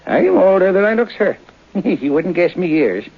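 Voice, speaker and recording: Cackling, husky old Irish hunchback. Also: male voice, sits high, very animated, exaggerated voice. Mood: cackling, teasing. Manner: cackling, folksy, deferential, drawn-out. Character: cackling 79-year-old hunchbacked Irish local man, morbid, garrulous. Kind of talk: old radio drama acting. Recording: dull lo-fi old radio recording.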